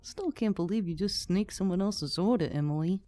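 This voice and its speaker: A woman's voice: mid-range voice, moderate pace, dark tone, dry recording, faint music behind, lightly acted. A woman voicing a brash, foul-mouthed, sardonic nonhuman young woman in an audiobook, blunt and sarcastic. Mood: sardonic, annoyed. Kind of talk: audiobook character acting.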